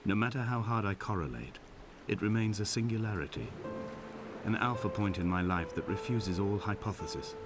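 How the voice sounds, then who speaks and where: An actor playing a brooding, hopeless man who narrates poetic letters in a solemn, measured, literary way for a game. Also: fairly low pitch, lively intonation, lightly acted. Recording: game audio under clear music. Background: music.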